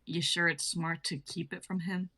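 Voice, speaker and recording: A woman's voice: on the low side, level tone, moderate pace, bright, forceful tone. A woman playing a blunt, skeptical young woman. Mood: wary. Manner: skeptical, dry teasing. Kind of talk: amateur dramatic reading.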